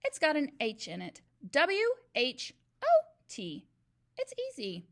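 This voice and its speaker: A woman's voice: high-pitched, huge pitch swings, takes it slowly, pitched above the performer's own voice, full character voice. A woman voicing a small, frightened schoolboy who answers nervously. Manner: nervous, hesitant.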